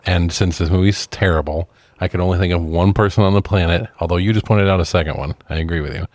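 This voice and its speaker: A man's voice: deep voice, fast talker, a little mellow, no echo, studio quality. A man co-hosting a film review podcast, chatting casually in a laid-back way and swearing now and then. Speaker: podcast co-host reviewing films.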